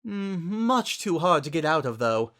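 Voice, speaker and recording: Man voicing a high, refined, theatrical young lady. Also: very animated, unhurried, a bit bright, full character voice. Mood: gracious. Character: refined, dramatic, kindly teenage fashionista girl.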